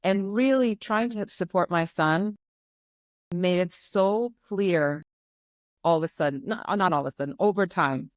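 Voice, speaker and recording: An earnest woman in her forties from Croatia speaks as a podcast guest in a fairly low voice. She tells a hard personal story with emphasis and repetition. The recording is dry and close, with no room around it. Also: dry recording.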